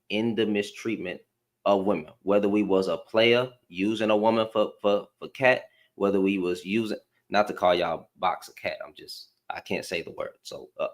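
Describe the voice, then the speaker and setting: A man from Houston with a Southern accent, hosting a live panel discussion in a rambling, slangy way with many restarts. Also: on the low side. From Houston, Texas. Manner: rambling, repeats words, slangy.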